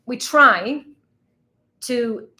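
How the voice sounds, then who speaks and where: A woman's voice: on the high side, drawn-out words, pushed and bright. A woman from Sweden, a native Swedish speaker, co-hosting a travel channel's live Q&A and chatting casually while reading out viewer questions. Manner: chatty, laughs often, reads out viewer questions. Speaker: travel vlogger co-host.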